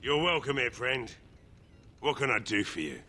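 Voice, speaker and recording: A bright, obliging village blacksmith. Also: male voice, medium pitch, big pitch swings, normal speed, slight background noise. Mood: welcoming, obliging. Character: welcoming, obliging male village blacksmith shopkeeper. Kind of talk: video game character dialogue.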